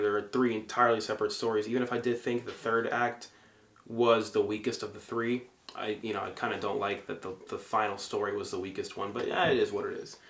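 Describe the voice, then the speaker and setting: A man's voice: fairly low pitch, a bit bright, roomy sound. Casual young man reviewing movies. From Egypt. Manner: conversational, frequent fillers and self-corrections.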